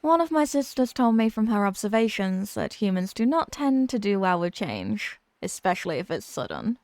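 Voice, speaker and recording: A woman's voice: mid-range voice, very animated, moderate pace, a little mellow, dry recording. A woman playing an obsessive, haughty young mermaid princess in a roleplay ASMR drama, sweetly smug and condescending. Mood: possessive, indignant.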